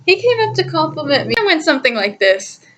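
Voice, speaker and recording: A young adult woman telling a personal story in a casual, chatty, animated way, giggly and often interrupting herself. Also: sits high, fast talker, soft background music. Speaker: storytime vlogger.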